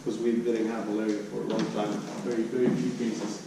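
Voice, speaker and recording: A man presenting research at an academic conference, speaking haltingly and often repeating and restarting his phrases. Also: on the low side, level tone. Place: conference room. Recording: echoey room, distant mic, background noise.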